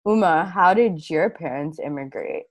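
A woman's voice: low voice, animated, moderate pace, dry recording. A woman in her late twenties with an American accent, co-hosting a conversational podcast discussion. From India-born, grew up in the United States. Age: late 20s. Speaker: podcast co-host.

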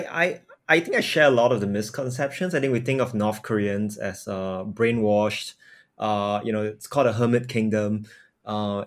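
A man in his thirties from Singapore, answering interview questions thoughtfully with frequent fillers and restarts. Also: fairly low pitch. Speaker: podcast guest, nonprofit founder.

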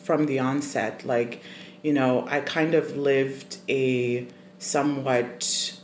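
Slow, thoughtful guest from Zimbabwe. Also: mid-range voice, fairly even intonation. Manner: thoughtful, measured, many ums, laughs often. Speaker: podcast guest. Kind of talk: casual interview storytelling. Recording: roomy echoey room, faint noise.

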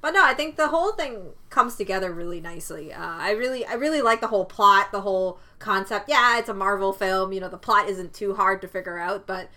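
A woman's voice: mid-range voice, a little fast, few pauses, sharp tone. A woman whose first language is Cantonese, chatting casually about a film, often laughing and restarting her sentences. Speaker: film review co-host. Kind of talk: casual chat.

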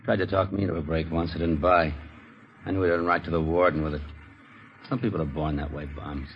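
Deep, bitter ex-convict. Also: male voice, fairly even intonation, fairly quick. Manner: curt, repeats himself. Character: bitter, guarded male ex-convict engraver. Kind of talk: 1950s radio police drama acting. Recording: muffled, noisy old radio recording.

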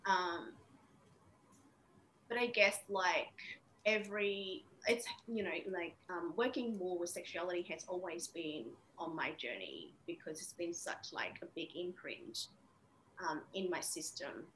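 Low-voiced woman from Vietnam, reflective. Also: expressive, a little slow, a bit bright, a little hiss or hum, rough recording. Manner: reflective, many fillers. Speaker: interview guest, women's coach. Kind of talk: casual interview.

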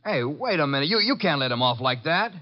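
An actor playing a brash, slangy, wisecracking detective's assistant who narrates in hardboiled 1950s radio style. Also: male voice, a little high, big pitch swings, a little fast. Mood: brash, puzzled. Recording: dull lo-fi 1950s radio recording. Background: music.

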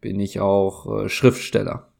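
A man speaking with a German accent, playing a guessing game in casual chat, slow and hesitant, thinking aloud with many fillers. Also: on the low side, flat delivery, creaky, darkish tone.

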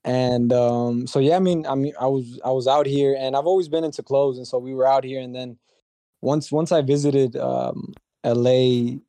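A young man, a guest on a podcast, talking casually in a soft-spoken, humble way with many restarts. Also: medium pitch, fairly even intonation, moderate pace, dry recording. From Dallas, Texas. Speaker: guest, brand founder. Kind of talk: casual interview chat.

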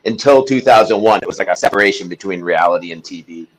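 A man's voice: deep voice, quick pace, poor audio. A man, a podcast guest, talking in a casual interview chat, intense and emphatic as he tells his story.

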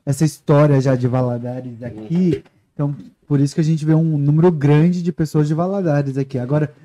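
Measured guest, very warm dark tone, slightly high voice. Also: male voice, fairly even intonation. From southern Minas Gerais, Brazil. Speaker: podcast guest. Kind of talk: casual podcast chat.

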